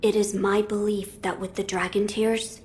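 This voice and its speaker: Woman voicing an earnest young boy lord, even-toned. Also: medium pitch, a little hiss or hum, natural voice. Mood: resolute. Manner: formal, measured, earnest. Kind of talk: video game cutscene acting.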